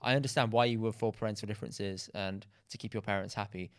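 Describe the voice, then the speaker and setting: A man's voice: on the low side, fast talker. A man from England, a podcast guest telling stories casually and acting out quoted dialogue. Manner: animated, acts out quoted dialogue. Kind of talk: casual storytelling.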